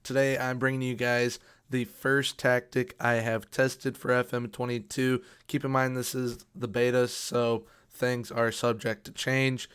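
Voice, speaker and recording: A man's voice: mid-range voice, smooth. A young man giving casual video game commentary with an upbeat, repetitive emphasis, his voice worn from recently losing it. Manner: upbeat, repeats words for emphasis. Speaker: video game tactics youtuber.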